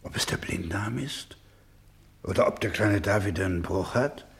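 An actor playing a weary, tender orphanage doctor in a German radio play, speaking gravely and gently. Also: male voice, on the low side, moderate pace.

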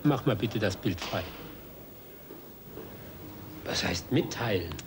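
A man's voice: lowish voice, lively intonation, slow, background noise. An actor playing a preoccupied photographer father in a naturalistic German audio drama.

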